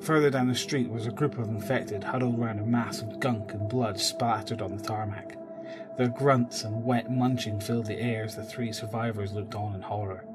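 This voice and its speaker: A man's voice: lowish voice, fairly even intonation, normal speed, loud music behind the voice. A man narrating a scripted horror audio drama.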